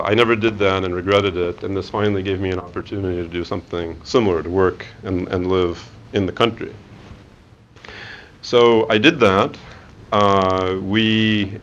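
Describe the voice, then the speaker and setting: A man's voice: low voice, lo-fi sound, slight background noise. A man in his forties giving a conversational career talk to students, with many fillers and restarts. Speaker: guest speaker. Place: lecture room.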